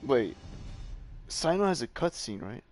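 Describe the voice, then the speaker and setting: A male game streamer talks casually as he reacts. His pitch jumps around a lot, and his delivery is informal and exclamatory. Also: sing-song, unhurried, a little mellow, soft background music.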